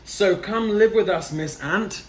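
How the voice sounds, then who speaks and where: A man's voice: high-pitched, unhurried, echoey room, slight background noise, pitched above the performer's own voice. A man voicing eager, polite animal neighbours from a storybook, asking questions in a sing-song way. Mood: eager, hopeful.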